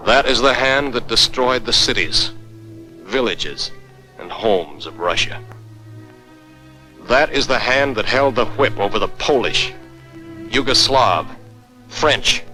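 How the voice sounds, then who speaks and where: A man's voice: fairly low pitch, sharp tone, poor audio. An American man narrating a 1940s military training film in a stern, emphatic, commanding manner. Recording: vintage 1940s film soundtrack. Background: orchestral music.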